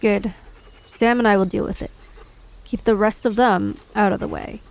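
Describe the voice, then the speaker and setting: A woman's voice: medium pitch, normal speed, creaky, natural voice. A woman playing a brusque, haughty relative of the gods in an audio drama, with a dry, impatient manner. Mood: curt, superior. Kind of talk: audio drama character acting.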